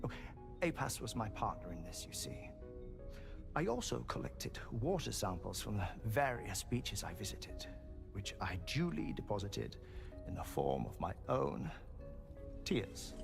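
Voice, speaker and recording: A man's voice: on the low side, very animated, gravelly, exaggerated voice. A man playing a genial, ailing scientist in a game cutscene, formal and wordy, sometimes dropping to a whisper, with music behind him. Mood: wry, wistful. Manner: formal, wordy, measured, with soft chuckles. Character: genial, ailing, erudite male scientist resigned to heartbreak. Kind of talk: cinematic video game cutscene acting.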